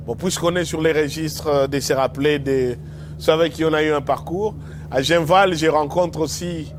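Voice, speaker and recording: A middle-aged lawyer, recounting memories in an unhurried way, pausing and restarting as he goes. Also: a little high, slight background noise. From DR Congo. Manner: unhurried, reminiscing with pauses and restarts. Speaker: lawyer and former movement spokesperson. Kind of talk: reflective storytelling.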